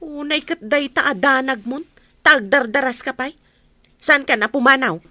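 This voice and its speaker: An actress playing a bossy, complaining, sickly aunt in a radio drama. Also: female voice, sits high, moderate pace, sharp tone, no room sound. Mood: disapproving. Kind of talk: Ilocano radio drama acting.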